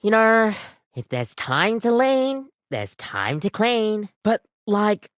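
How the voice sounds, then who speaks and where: A woman's voice: mid-range voice, big pitch swings, slow pace, no echo, studio quality. A woman voicing an overworked, apologetic barista in a video game, chatty, rambling and self-deprecating, with a weary devotion to the job. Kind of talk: video game character acting, conversational.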